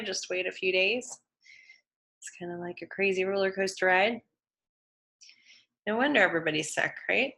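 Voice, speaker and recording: Woman, design instructor, head cold, nasal, bright voice, casual online lecture. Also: medium pitch, vocal fry. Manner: casual, chatty, with frequent fillers and self-corrections. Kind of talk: live online lecture with screen demo.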